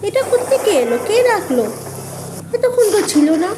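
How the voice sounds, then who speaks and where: A woman's voice: very high voice, sing-song, fairly quick, noisy background, voice pushed up. A woman voicing an anxious young college student from a village, fretting and exclaiming to herself in a storybook tale. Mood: anxious, startled. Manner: fretful, exclaiming, talking to herself.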